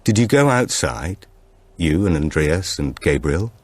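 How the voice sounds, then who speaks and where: A man voicing an anxious, grieving father in an audiobook, asking quiet, careful questions with gentle insistence. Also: low voice, very animated, measured pace, slightly rough, no room sound, heavily acted. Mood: gently firm.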